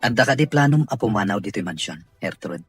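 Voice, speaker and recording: Very husky Filipino male boss, played by an actor. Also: fairly low pitch, lively intonation, quick pace, dry recording, faint music behind. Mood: firm, protective. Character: protective, authoritative male boss of a mansion. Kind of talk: Ilocano audio drama acting.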